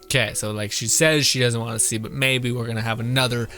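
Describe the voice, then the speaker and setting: A young man streams a game with a medium-pitched voice. His commentary is casual, rambling and halting, with faint music playing behind him. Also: fairly even intonation, sharp tone. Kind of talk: casual live gameplay commentary.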